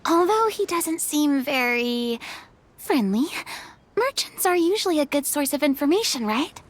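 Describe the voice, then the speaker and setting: A woman's voice: high-pitched, animated, a little slow. A woman reading the part of a polite, inquisitive young female traveler in a game. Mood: curious.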